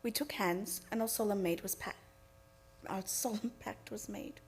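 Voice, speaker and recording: A woman's voice: medium pitch, normal speed, soft background music, natural voice. A woman playing an earnest young wife who formally reads out records in a radio play. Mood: solemn, recounting.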